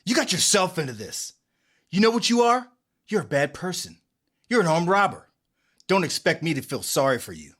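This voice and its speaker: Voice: mid-range voice, big pitch swings, normal speed, fairly bright tone. A performer reading an exasperated, bitter husband in a comic table read, sounding irritated, sarcastic and defensive. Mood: indignant.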